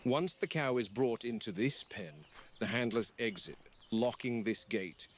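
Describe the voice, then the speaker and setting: An actor playing a serious game warden who narrates a formal, objective log in a found-footage horror film. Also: male voice, medium pitch, expressive, measured pace, slight background noise, natural voice. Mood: measured, grave. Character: measured, serious male game warden documenting dangerous predators.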